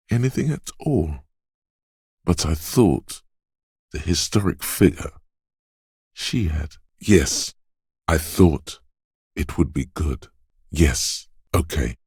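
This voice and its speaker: An actor playing a detective's quick-witted, suspicious inner voice of intuition in a video game, narrating in a measured, knowing, urging manner. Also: male voice, darkish tone, no room sound, studio quality, exaggerated voice. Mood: questioning, sheepish. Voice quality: very deep, smooth, resonant. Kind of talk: scripted game narration, second-person inner voice.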